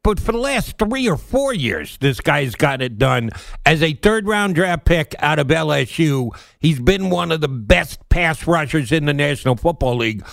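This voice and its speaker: A man's voice: a little high, sing-song, moderate pace, vocal fry, a bit bright, no echo. A man hosting a sports radio show, asking his guest long, conversational questions.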